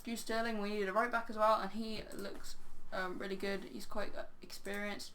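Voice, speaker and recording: A woman with a crackly, hoarse voice giving casual, rambling video game commentary, full of "um" and "yeah". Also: mid-range voice, flat delivery, normal speed, a bit bright. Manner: rambling, filler-heavy, "um, yeah". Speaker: game channel host.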